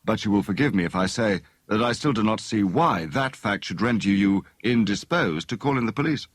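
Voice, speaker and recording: A man playing a courteous, measured English private detective, polite and probing, in an audiobook story. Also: lowish voice, expressive, no echo, studio quality. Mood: serious, probing.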